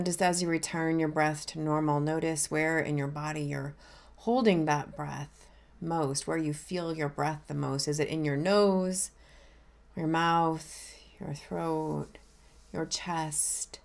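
A woman minister leading a guided meditation in a gentle, unhurried, inviting way. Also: low voice, gravelly.

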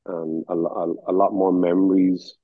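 A man with an Irish accent tells stories at an easy pace, stumbling and repeating himself as he goes. His voice is low and husky. It comes through a thin, dry, phone-like video call line. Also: little pitch movement, a little slow, no echo. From Ireland. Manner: easygoing storyteller, stumbles and repeats words. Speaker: guest. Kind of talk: casual interview chat. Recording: tinny dry call audio.

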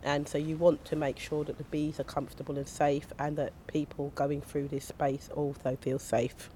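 Woman getting over the flu, voice gone deep, chatty and rambly, one shared little mic outdoors, faint rumble behind. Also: level tone, moderate pace, dark tone. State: recovering from flu, voice lower than usual. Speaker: guest, community gardener. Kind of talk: casual chat. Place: outdoor community garden surrounded by flats. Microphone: sharing one small microphone with the host.

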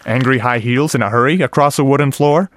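A man's voice: mid-range voice, a little fast, fairly bright tone, slight background noise, lightly acted. A man acting in a radio drama as an enthusiastic sound-effects guy, explaining things conversationally with questions to the listener. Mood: casual, eager.

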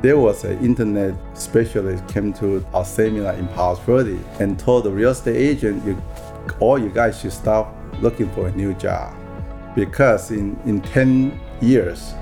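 A man in his sixties from Taiwan tells his story as a podcast guest, speaking in a soft, halting way. He pauses and restarts his sentences often. A little music plays faintly underneath. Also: on the low side. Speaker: guest real estate broker. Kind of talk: podcast interview.

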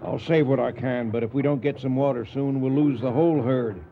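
An actor playing a steady, pragmatic veteran drover in a Western radio drama, with grave, plainspoken cowboy talk. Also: male voice, medium pitch, a little fast, gravelly, narrow, tinny audio, slight background noise, natural voice. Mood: grim.

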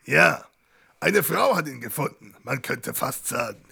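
Male actor as excitable radio-play inspector, hard of hearing, gravelly, swinging pitch, fond of teasing puns. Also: a little slow, heavily acted. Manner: loud, punning, exclamatory. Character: half-deaf, pun-loving male police inspector, excitable and teasing. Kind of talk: comedic audio drama acting.

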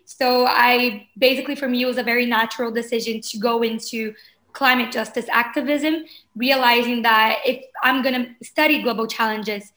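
Woman in her 20s, kinda high voice, chatting as a podcast guest. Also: fairly bright tone. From Porto Alegre, southern Brazil. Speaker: podcast guest, climate justice advocate. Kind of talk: conversational interview answers.

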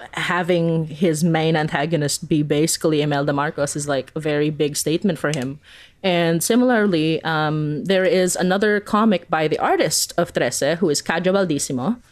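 A woman speaking casually and informally as an interview guest, chatty and often amused. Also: low-pitched. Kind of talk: casual conversational interview answers.

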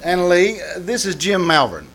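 A man's voice: high-pitched, sing-song, moderate pace, fairly bright tone, exaggerated voice. A man playing a timid, flustered studio publicity chief in old-time radio comedy style, hesitant and placating. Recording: noisy live theater stage recording.

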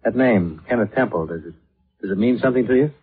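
An actor playing a patient, world-weary insurance investigator in a 1950s radio drama, measured and matter-of-fact. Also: male voice, on the low side, expressive, breathy edge. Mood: probing, patient. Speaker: lead investigator and narrator. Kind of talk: 1950s radio detective acting. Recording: muffled old radio recording with music.

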